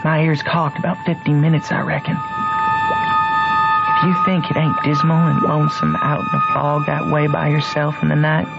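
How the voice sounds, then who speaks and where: A man's voice: a little high, level tone, normal speed, creaky. A man playing Huck's part as a plainspoken backwoods boy with a Southern US accent, telling his adventures in a folksy, matter-of-fact way for a radio drama. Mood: storytelling. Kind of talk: old-time radio drama narration and dialogue. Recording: muffled vintage radio recording, music behind.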